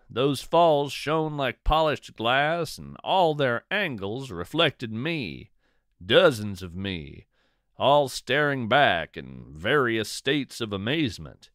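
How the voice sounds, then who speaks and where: A man reads literary narration in a measured, deliberate way. His pace is slow, and his pitch moves with lively expression. The recording is dry, with very little room sound around the voice. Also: dry recording. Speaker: podcast host and narrator. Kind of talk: scripted narration, poetry reading and storytelling.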